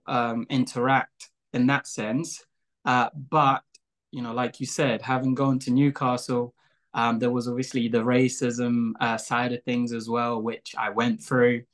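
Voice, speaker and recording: A young man from Angola, whose first language is Portuguese, talking casually in an interview, rambling with lots of fillers and small laughs. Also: medium pitch. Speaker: guest, show creator.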